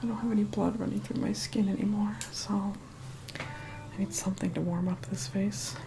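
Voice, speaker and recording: A woman's voice: mid-range voice, fairly even intonation, normal speed, creaky, background noise, natural voice. A woman playing a snobbish, reluctant young vampire in an ASMR roleplay, whispering in a sarcastic, bossy, condescending way. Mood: snide, grudging.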